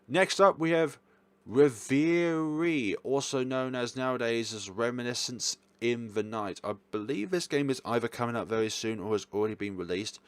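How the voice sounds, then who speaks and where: Low-pitched man, casual rambling commentary. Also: smooth, dry recording. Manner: rambling, self-correcting. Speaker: video host reviewing games.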